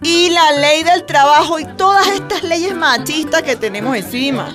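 A woman's voice: high-pitched, moderate pace, music in the background, close to an everyday voice. An actress playing a feisty, determined woman councillor and activist in a radio drama, emphatic and bossy, with a Venezuelan accent. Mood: rousing, encouraging.

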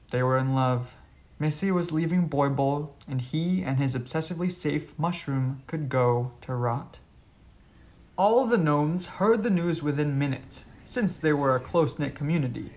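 A man's voice: on the high side, slight background noise. A man narrating a scripted whimsical story as a reader.

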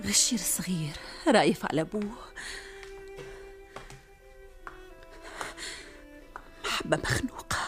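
An actress with a Tunisian accent playing a vizier's young daughter, lovesick and desperate, often speaking in breathy whispers in a radio drama. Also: female voice, sits high.

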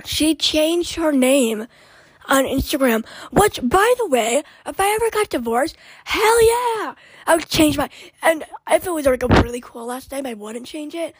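A young woman hosting a podcast with a raspy voice, chatting in a scattered, rambling way and often dropping into whispered asides. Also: high-pitched, expressive, a little slow, no room sound, low-quality recording. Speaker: podcast host. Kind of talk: casual rambling chat.

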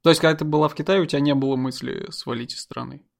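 A man's voice: a little high, steady tone, racing, creaky. A man hosting a casual chat who asks questions and teases his guest with jokes. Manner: teasing, joking questions. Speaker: host.

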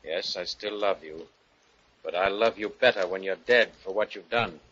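A tormented, obsessed professor husband in a vintage radio thriller. His voice is husky yet forceful, with intense and deliberate phrasing. The old recording sounds dull and muffled. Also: sits low. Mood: wry, subdued. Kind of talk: 1940s radio thriller acting.

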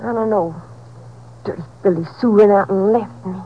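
A woman's voice: medium pitch, moderate pace, lo-fi sound, lightly acted. An actress playing a sullen, resentful, uneducated girl delinquent with a rural Southern accent, in 1950s radio drama style. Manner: folksy ungrammatical drawl. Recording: old 1950s radio recording.

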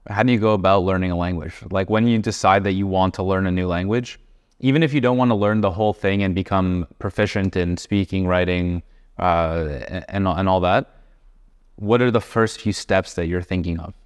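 Low smooth-voiced male podcast host. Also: steady tone, moderate pace. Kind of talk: interview questions and anecdotes.

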